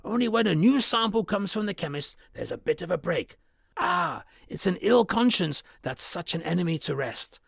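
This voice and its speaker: A man doing a frightened old gravelly butler. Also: high-pitched, very animated, moderate pace, dry recording, studio quality, voice pushed up, exaggerated voice. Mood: fearful. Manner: anxious, earnest, dread-filled. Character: old male butler, fearful and hushed. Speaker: servant in a story.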